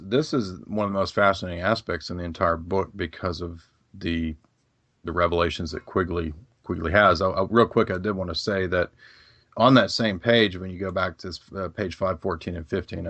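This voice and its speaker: Deep gravelly-voiced man, rambling talk. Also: steady tone, moderate pace, a bit bright, dry recording, lo-fi sound. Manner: rambling, many fillers and restarts. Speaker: guest. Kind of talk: casual podcast discussion.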